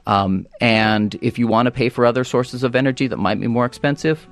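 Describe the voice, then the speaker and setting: An American man speaking as a policy guest on a radio talk show, often halting and rephrasing as he goes. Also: medium pitch. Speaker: energy policy director, panel guest.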